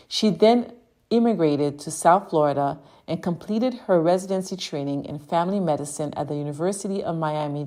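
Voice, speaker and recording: A woman's voice: deep voice, dark tone. A woman hosting a virtual summit, introducing her guest with upbeat enthusiasm over a video call. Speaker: summit host. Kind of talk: interview introduction.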